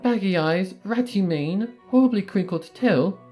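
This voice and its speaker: A reader putting on the voice of a refined, dramatic unicorn mare seamstress in a fan story reading, with an elegant, drawn-out delivery. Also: mid-range voice, expressive, dark tone, soft background music, lightly acted. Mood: fussy.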